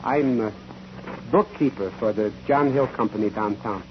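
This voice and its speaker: An actor playing a nervous, evasive bookkeeper who stammers and repeats himself on the stand in a 1940s radio courtroom drama. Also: male voice, on the low side, moderate pace, natural voice. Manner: stammering, repeating words, jittery. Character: nervous, evasive bookkeeper hiding a criminal past. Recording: muffled, noisy old 1940s radio recording.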